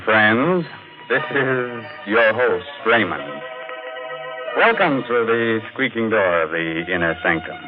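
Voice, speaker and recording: A man playing a macabre, sardonic horror host and storyteller in theatrical 1940s radio style. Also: medium pitch. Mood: sly, ghoulish. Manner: theatrical, sardonic, mock-friendly. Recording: muffled 1940s radio recording with music.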